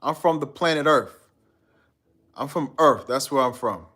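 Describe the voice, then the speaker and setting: A man's voice: mid-range voice, measured pace, a bit bright, breathy edge. A man from Guyana hosting a live stream, chatting loosely with his viewers in a slangy, rambling way and reading out their comments. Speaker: livestream host.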